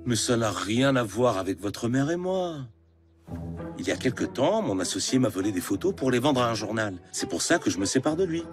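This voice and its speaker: A man voicing a kindly, busy father in a cartoon, expressive and affectionate. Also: mid-range voice, brisk, music in the background, lightly acted. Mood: puzzled. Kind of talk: French animated series dubbing.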